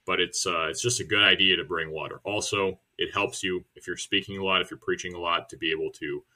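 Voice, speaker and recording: Deep-voiced man giving casual instructions. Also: quick pace. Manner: relaxed, plain-spoken, many fillers. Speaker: church member teaching soul winning.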